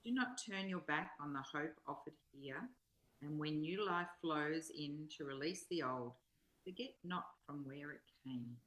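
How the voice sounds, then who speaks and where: A woman speaking in a measured, reflective way, as in a spiritual reading and study conversation. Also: sits low, a bit bright. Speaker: co-presenter reading and discussing a spiritual text. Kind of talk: slow read-aloud and reflective study conversation. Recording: roomy, echoing sound.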